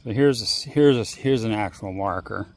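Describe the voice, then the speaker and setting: A man vlogging casually while walking outdoors, rambling and swearing freely, with long pauses. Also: lowish voice. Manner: rambling, profane, drawn-out pauses. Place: outdoors on a walk. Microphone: handheld phone.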